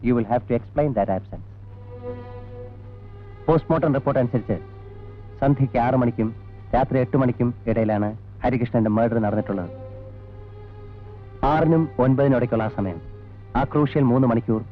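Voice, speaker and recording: An actor playing a stern, methodical police investigator in an old Malayalam film, formal and insistent. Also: male voice, mid-range voice, normal speed, pauses often, lightly acted. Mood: probing, firm. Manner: formal, insistent, mixing in English words. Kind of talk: 1980s Malayalam film acting. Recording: dull old film soundtrack with music.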